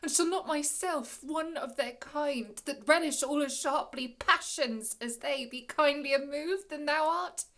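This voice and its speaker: A woman acting an aging, commanding sorcerer in a classical Shakespearean stage monologue, with measured, dramatic delivery and long pauses. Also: on the high side. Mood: impassioned.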